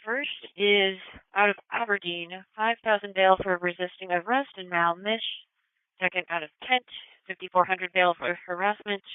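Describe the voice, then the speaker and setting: A woman's voice: medium pitch, fairly even intonation, normal speed, clear, resonant tone, no echo. Woman dispatcher on a narrow police radio channel. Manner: clipped, procedural. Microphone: two-way radio dispatch mic. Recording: thin band-limited police scanner radio.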